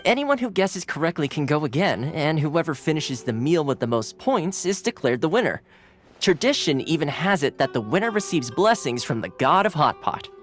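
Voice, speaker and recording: A man's voice: fairly high pitch, sing-song, moderate pace. An actor playing a cheerful young male retainer in a video game, easygoing and polite, with a playfully boastful streak. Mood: amiable. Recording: game audio with soft music behind.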